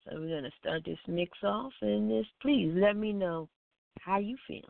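A woman hosting a radio show, introducing music in a casual, informal and halting way. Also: mid-range voice, gravelly, no echo. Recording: phone-like narrow band sound.

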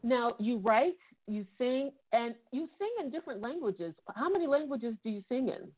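Female interview host, high-pitched and casual, heard through a narrow phone-like channel. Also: expressive, moderate pace, slightly rough. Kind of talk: casual radio-style interview.

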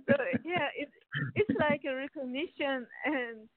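A young woman from France with a French accent, a violinist guest on a phone interview, speaking English haltingly and searching for words. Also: high voice. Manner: hesitant, searching for words, many restarts. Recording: tinny phone line, dry.

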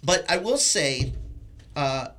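Bright-voiced man in casual film talk. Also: fairly high pitch, expressive, slow. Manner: informal, sweary, emphatic. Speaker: podcast host discussing a film.

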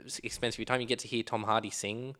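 A man's voice: mid-range voice, level tone, quick pace, pushed and bright. A man co-hosting a podcast, chatting enthusiastically about films with many fillers and restarts. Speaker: podcast co-host. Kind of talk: casual film review chat.

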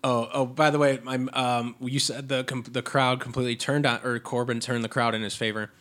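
A man co-hosting a casual podcast, sniffly from allergies, excitable and swearing freely. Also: mid-range voice, a little fast. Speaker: podcast co-host. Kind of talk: casual wrestling chat. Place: Chicago area.

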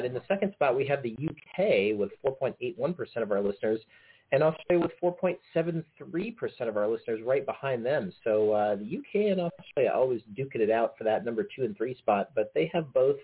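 An easygoing male podcast co-host who chuckles often and fills his pauses with filler words. His voice is slightly raspy at a middling pitch. The sound is thin and tinny, like a phone line. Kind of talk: casual chat.

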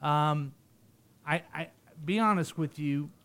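A man's voice: a little high, takes it slowly, fairly bright tone. A man hosting a small radio talk show in a studio, rambling and joking with his co-hosts and laughing often. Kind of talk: casual studio banter.

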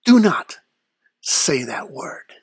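A performer voicing a haughty, very husky Devil. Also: mid-range voice, very animated, no echo, studio quality, heavily acted. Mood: stern, terse. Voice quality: husky, breathy rasp. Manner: terse, formal, slow and deliberate. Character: haughty, vain Devil, lord of darkness. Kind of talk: in-character mock interview.

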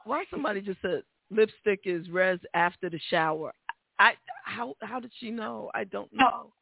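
A man's voice: high voice, expressive, normal speed, gravelly, no room sound. A man co-hosting casually, feeding short prompts in a word game. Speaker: show co-host. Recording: dull lo-fi, faint noise.

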